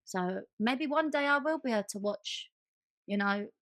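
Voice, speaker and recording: A woman's voice: fairly high pitch, expressive, normal speed, a bit bright, no room sound, studio quality. A woman co-hosting a podcast in casual chat, speaking informally and with emphasis. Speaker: podcast co-host.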